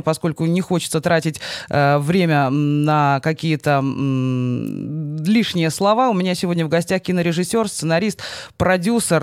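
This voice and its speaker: A young adult woman hosting a live radio talk show, greeting listeners with energy and pausing on fillers. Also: low voice, darkish tone, dry recording, clean studio sound.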